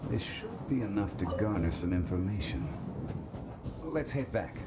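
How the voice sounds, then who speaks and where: A man voicing a composed, ancient male scholar in a video game, speaking in a measured, formal, explanatory way. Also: sits low, lively intonation, heavy background noise. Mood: calm.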